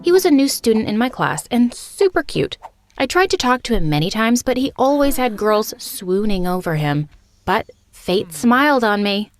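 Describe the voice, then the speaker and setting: Young woman, story narrator, expressive and confiding, pitched a little high. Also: normal speed. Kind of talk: scripted first-person narration of an animated story.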